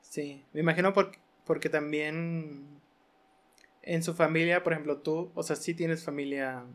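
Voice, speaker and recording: Higher-voiced Mexican man, casual interviewer. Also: steady tone, unhurried. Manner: informal, rephrases questions as he goes. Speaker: podcast host.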